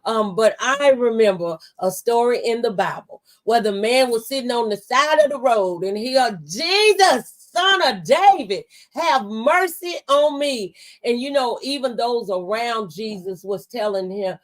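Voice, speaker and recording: High-voiced woman hosting radio talk. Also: moderate pace, hardly any pauses. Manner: preacherly, testifying. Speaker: radio talk show host. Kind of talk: conversational interview with faith-based encouragement.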